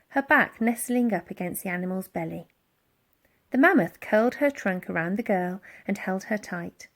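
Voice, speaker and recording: A woman reading a children's storybook aloud as an expressive, dramatic narrator who acts out the sound words. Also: medium pitch. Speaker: audiobook reader.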